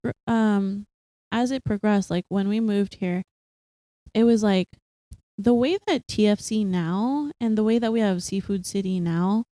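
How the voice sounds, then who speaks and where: A young woman co-hosting a casual chat podcast, animated and quick to laugh, full of fillers. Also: medium pitch, no room sound. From Philippines, raised partly in Singapore. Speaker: podcast co-host.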